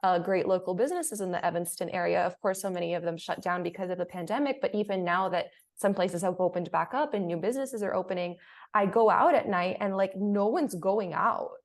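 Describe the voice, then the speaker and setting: A woman's voice: fairly low pitch, brisk. Woman reflecting aloud in a panel chat. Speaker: panelist, theatre artist. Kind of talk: casual panel discussion.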